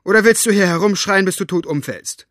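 A man voicing a gruff, sharp-tongued woman book collector who talks brusquely and mockingly. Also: fairly high pitch, animated, normal speed, no echo, studio quality, pitched above the performer's own voice, natural voice.

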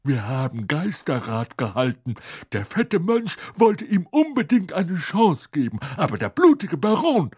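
A man voicing a proud, fussy old male ghost in a slow, self-important manner with a breathy voice. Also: fairly high pitch, wildly swooping pitch, darkish tone, no room sound, studio quality, voice pushed up, full character voice. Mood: disapproving. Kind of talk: audiobook character voice.

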